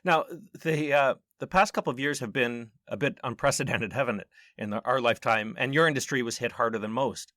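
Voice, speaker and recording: A man's voice: mid-range voice, fairly quick, hardly any pauses, sharp tone, no room sound, studio quality. A man hosting a podcast, presenting and interviewing in a conversational but orderly way. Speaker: podcast host.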